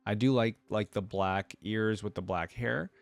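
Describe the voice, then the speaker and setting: Male reaction-video host, lowish voice, informal off-the-cuff commentary, dry close recording. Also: a little slow, gravelly, no room sound. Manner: informal, thinking aloud.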